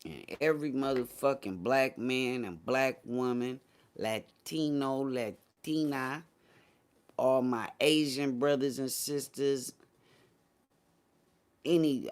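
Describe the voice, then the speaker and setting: A man's voice: medium pitch, unhurried. An American man in casual, unscripted talk, opinionated and blunt, with slangy phrasing and plenty of swearing. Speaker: morning show host. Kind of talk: casual chat.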